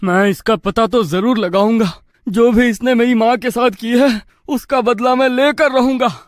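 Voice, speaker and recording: High-voiced earnest young brother-in-law, played by a man. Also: measured pace, dry recording, clean studio sound. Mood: determined.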